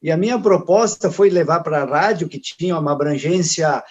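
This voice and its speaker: A man with a southern Brazilian accent, talking casually and haltingly as a guest on a video call panel. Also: a little high. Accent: Rio Grande do Sul Portuguese. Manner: hesitant, many restarts and fillers. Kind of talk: casual conversational talk. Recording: dull lo-fi video call audio.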